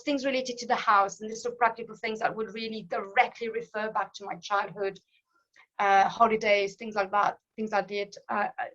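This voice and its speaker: A woman's voice: mid-range voice, bright, forceful tone, lo-fi sound. French-accented woman in her fifties, hesitant. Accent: French, Alsatian. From France. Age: 50s. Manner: hesitant, many restarts and ums, laughs at herself. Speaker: guest speaker. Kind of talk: personal talk on language.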